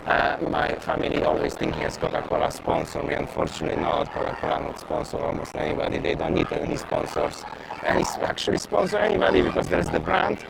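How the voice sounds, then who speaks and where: A man's voice: fairly high pitch, flat delivery, fast talker, vocal fry, very noisy. Chatty Polish man touring a street market. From Poland. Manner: chatty, repeats words, simple non-native phrasing. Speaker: travel vlogger. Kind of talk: walking market tour vlog. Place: busy outdoor street market.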